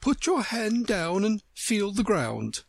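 A woman's voice: medium pitch, sing-song, slow pace, vocal fry, slightly rough, voice pushed up, heavily acted. A woman voicing a haughty, hot-tempered talking flower who scolds in a storybook. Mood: huffy, explaining. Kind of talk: storybook character voice.

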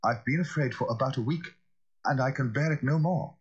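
A man acting an anxious, hoarse old butler. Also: mid-range voice, fairly quick, pitched above the performer's own voice, natural voice. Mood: frightened. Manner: deferential, urgent. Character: anxious loyal old butler, fearful for his master. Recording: slightly dull, lo-fi audio.

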